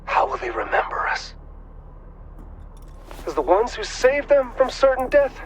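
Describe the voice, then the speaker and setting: A man's voice: very high-pitched, very animated, measured pace, crowd noise, heavily acted. An actor playing a desperate, persuasive male zealot with theatrical intensity in a dramatic video game. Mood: pleading, intense. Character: desperate male zealot justifying a grim mission. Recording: clear background noise.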